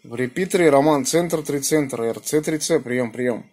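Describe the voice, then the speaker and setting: A man with a slightly husky voice, chatting casually in slangy speech and giving call signs as an amateur radio operator, recorded indoors on a phone. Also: mid-range voice, normal speed, darkish tone. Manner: colloquial, slangy. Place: small room indoors beside radio equipment. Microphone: phone held near the radio.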